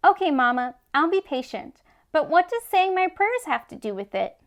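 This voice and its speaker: A woman's voice: high voice, big pitch swings, moderate pace, fairly bright tone, pitched above the performer's own voice, exaggerated voice. A woman voicing an earnest, eager young boy in a storybook. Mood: eager, curious. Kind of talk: storybook character voice.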